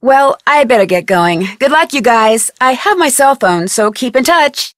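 A woman plays a young American amateur detective in an adventure game. Her voice is fairly high and dry, with no room sound. She is plucky, inquisitive and conversational in her delivery. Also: expressive, moderate pace, no echo, lightly acted. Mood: friendly, curious. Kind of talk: scripted adventure game dialogue.

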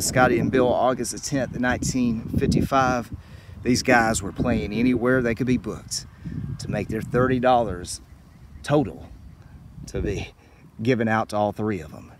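A man's voice: medium pitch, measured pace. A middle-aged man hosting a travel vlog on location, speaking enthusiastically and directly to his viewers. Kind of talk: on-location narration to camera about music history. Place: outdoors at a small-town baseball field. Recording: outdoor ambient noise, passing vehicles.

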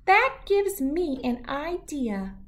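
Woman doing a tiny squeaky shrew. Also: sing-song, takes it slowly, full character voice. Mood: thoughtful. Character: tiny, polite, eager male shrew with big plans. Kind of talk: children's storybook character voice.

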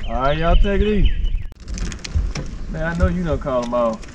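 Southern man over loud outdoor noise. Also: on the high side, animated, moderate pace. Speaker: angler. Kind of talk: casual chat while fishing. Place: outdoors on a small boat on a lake. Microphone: boat-mounted action camera.

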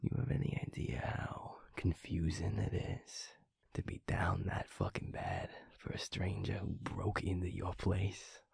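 A young man with an American accent playing an affectionate, teasing werewolf boyfriend in an intimate audio roleplay, in a hushed, breathy, husky voice. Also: big pitch swings, no echo, full character voice. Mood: playful, teasing. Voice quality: breathy, husky, gravelly low whisper. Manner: slow, hushed, intimate, pausing. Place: close, dry studio recording. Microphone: close microphone.

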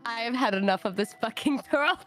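A woman's voice: a little high, brisk. A woman chatting casually as a co-streamer, giggly and mock-exasperated in her reactions. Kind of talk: casual chat. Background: soft game music.

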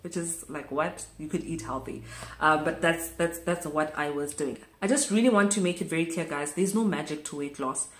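A woman's voice: sits low, normal speed, faint music behind. A woman in her thirties from South Africa, chatting casually to camera in a small room and repeating words for emphasis. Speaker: vlogger. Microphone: camera close in front. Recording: echoey room.

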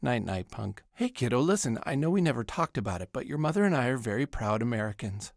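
Lively, exasperated cartoon dad. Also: male voice, medium pitch, fast talker, no room sound, studio quality. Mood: calm, fatherly. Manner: casual, rambling, put-upon. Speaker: cartoon video game character. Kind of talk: scripted video game voice lines.

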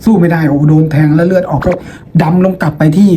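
Guy teacher telling stories to students, mellow dark voice, chatty n playful, bit of background noise. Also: a little high, steady tone, fast talker. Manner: chatty and playful, with rhetorical questions. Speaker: teacher and host of a lesson video. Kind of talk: informal storytelling lecture to students.

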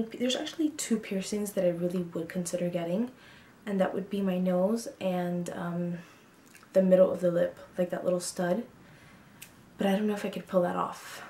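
A woman's voice: medium pitch, dark tone, reverberant, slight background noise. Chatty twenties woman vlogging in a bedroom. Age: 20s. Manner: chatty, frank, with frequent ums and likes. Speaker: beauty vlogger. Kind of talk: casual get-to-know-me chat to camera. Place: small bedroom, indoors.

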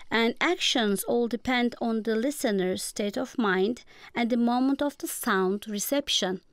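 A woman's voice: a little high, smooth, no room sound, studio quality. A woman with a Turkish accent, giving formal, academic, prepared answers as a guest expert. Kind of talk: prepared lecture-like radio interview.

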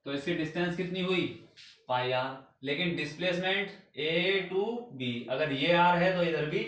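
A man's voice: on the high side, moderate pace, fairly bright tone. A young male physics teacher at a whiteboard, asking his class questions and answering them himself in a mix of Hindi and English. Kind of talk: whiteboard lecture. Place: small room at a whiteboard. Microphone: camera a few meters away. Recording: very echoey room, voice from far off.

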